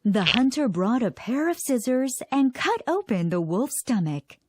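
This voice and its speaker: Woman narrator, children's storytelling, lively intonation, highish pitch, scripted, prominent music underneath. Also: measured pace, no room sound, clean studio sound. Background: loud music.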